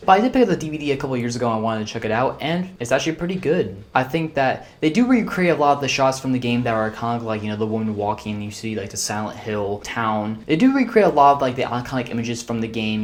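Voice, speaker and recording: Fast-talking man reviewing movies. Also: medium pitch. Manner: rambling, conversational, opinionated. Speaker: video reviewer. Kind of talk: casual spoken movie review.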